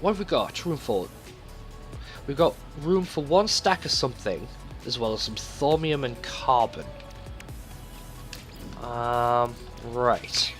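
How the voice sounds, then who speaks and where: A man's voice: mid-range voice, animated, slow, pauses often, a bit bright, faint music behind. A man hosting a gaming video, talking casually and thinking aloud in a conversational let's play commentary.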